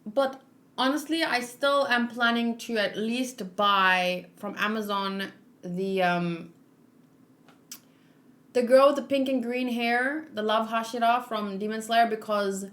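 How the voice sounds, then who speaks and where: Casting: woman, fairly high voice, playful and candid, chatty read-along host. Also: fairly bright tone. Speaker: vlogger reading manga aloud with commentary. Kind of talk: casual commentary during a read-along.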